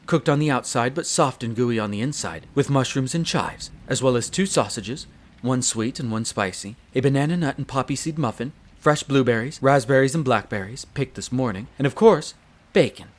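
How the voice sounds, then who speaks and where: A man's voice: medium pitch, lively intonation, normal speed, lightly acted. Man acting as a courteous wolf butler. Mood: polite, attentive. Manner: polite, formal, deferential. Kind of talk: audio roleplay acting.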